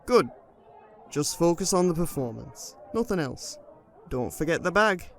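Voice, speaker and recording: An actor playing a brisk, businesslike male stage technician in an audio drama, curt and matter-of-fact. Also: on the high side, expressive, creaky, fairly bright tone, heavily acted. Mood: brisk, focused.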